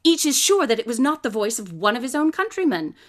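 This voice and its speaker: A woman's voice: a little high, big pitch swings, fast. A woman playing a brilliant, eccentric detective in a live radio drama, coolly superior, lecturing in precise, didactic speech. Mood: lecturing, emphatic. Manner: pompous, didactic, precisely articulated. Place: closet or desk at home.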